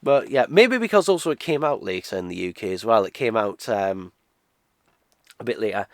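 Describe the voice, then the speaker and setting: A man's voice: fairly low pitch, animated, normal speed, gravelly, no room sound, studio quality. A British man in his twenties with a cold, talking through a casual game unboxing review in a rambling way, full of uhs and restarts. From United Kingdom. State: nasal from a cold.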